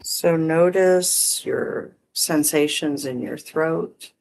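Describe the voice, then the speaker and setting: A meditation teacher guiding breath and visualization in short, gently permissive phrases with long pauses. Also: mid-range voice, creaky, slight background noise, low-quality recording. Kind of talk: guided meditation, unhurried instruction.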